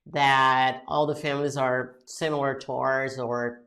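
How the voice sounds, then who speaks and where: Low-voiced Brazilian woman podcast host. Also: little pitch movement, a little slow, a bit bright. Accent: Brazilian Portuguese. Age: 40s. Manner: chatty, mixing Portuguese and English. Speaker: podcast host and English teacher. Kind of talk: casual solo podcast talk.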